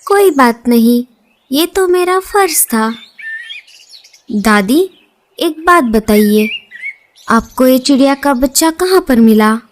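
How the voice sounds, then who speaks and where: Gentle, earnest mother bird played by a woman, high and unhurried, for a children's cartoon. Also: animated, a little hiss or hum. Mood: grateful, earnest.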